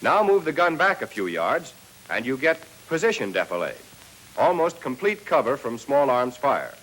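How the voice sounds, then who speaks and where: Male narrator, American, 1940s army training film style. Scripted, instructional, mid-pitched, speaks straight to the trainee. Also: sing-song, moderate pace, fairly bright tone, a little hiss or hum, rough recording. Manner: instructional, addressing trainees directly.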